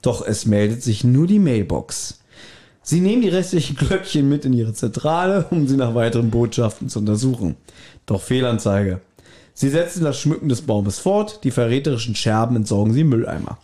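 A man's voice: medium pitch, expressive, normal speed, warm tone. A man hosting a podcast, giving a casual recap partly read from his notes, with playful irony. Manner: playfully ironic. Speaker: podcast host.

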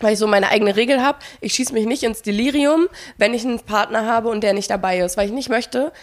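A young woman co-hosting a podcast, telling a personal story in casual chat and acting out the quoted dialogue. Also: on the high side. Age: young adult. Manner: fast, animated, acts out quoted dialogue, frequent fillers. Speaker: podcast co-host.

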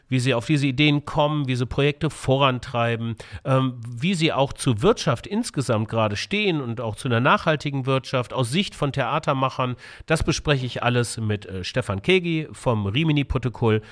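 A man's voice: medium pitch, fairly bright tone. A man hosting a podcast, talking informally and jokingly in a casual interview style. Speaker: podcast host.

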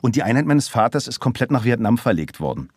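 Relaxed middle-aged German man telling his story. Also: medium pitch, fast, breathy edge, no echo, clean studio sound. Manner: open, relaxed storytelling with frequent fillers. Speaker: podcast guest, voice actor. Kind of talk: casual interview chat.

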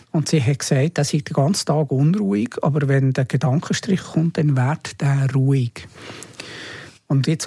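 A man's voice: on the high side, vocal fry, warm tone, husky, no room sound. A man explaining and recollecting as an interview guest, often rephrasing as he goes. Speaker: interview guest, radio drama producer.

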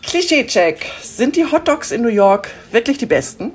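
A woman's voice: fairly high pitch, big pitch swings, normal speed, breathy edge, soft background music. A woman from Hamburg hosting an interview, asking short questions in an upbeat way. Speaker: interview host.

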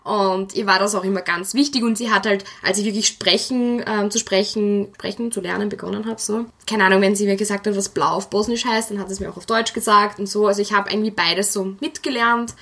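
A woman's voice: medium pitch, steady tone, fairly quick, few pauses, sharp tone. A young woman, talking casually and laughing often as an interviewee. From Austria.

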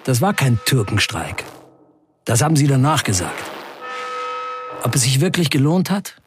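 An actor playing a male Turkish guest worker in a radio play, looking back in measured, short sentences. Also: medium pitch, sing-song, music in the background, close to an everyday voice. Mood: reflective. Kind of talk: scripted radio play monologue.

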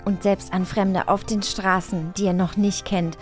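A woman's voice: medium pitch, flat delivery, a little slow, soft background music. A woman co-hosting a podcast in casual chat, with an effusive way of talking. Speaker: podcast co-host.

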